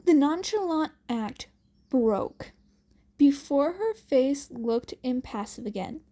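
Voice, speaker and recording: High-voiced woman narrating, unhurried. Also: animated, mellow. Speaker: fan fiction reader. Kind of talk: scripted story narration.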